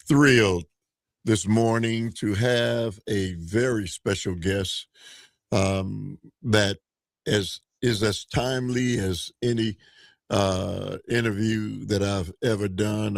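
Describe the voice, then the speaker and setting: A man hosting a live talk show, interviewing a guest in a deliberate, halting way. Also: lowish voice, gravelly, a little mellow, no room sound. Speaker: radio talk show host.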